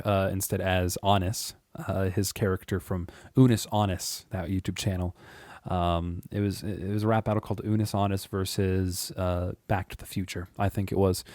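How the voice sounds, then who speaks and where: A man with a deep voice, chatting casually and rambling to his viewers, with frequent chuckles and filler words. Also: a little mellow, no room sound, clean studio sound. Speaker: YouTube creator. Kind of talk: casual monologue to camera.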